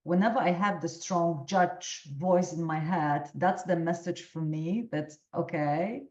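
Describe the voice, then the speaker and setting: Low-voiced woman, echoey and muffled. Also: measured pace, darkish tone. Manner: hesitant, thinking aloud with restarts. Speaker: coaching supervisor in discussion. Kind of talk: reflective discussion.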